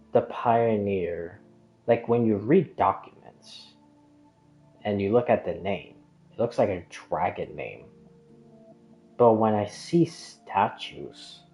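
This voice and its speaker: A man's voice: on the low side, warm tone. A man chatting casually as a game streamer, rambling and hesitant, often trailing off and drifting onto tangents. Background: background music.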